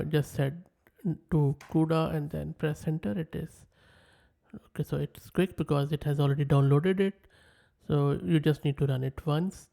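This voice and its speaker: Mellow-voiced man walking through a tutorial. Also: fairly high pitch, gravelly. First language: Urdu. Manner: methodical, narrates each step while typing. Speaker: tech tutorial presenter. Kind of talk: screen-share software tutorial.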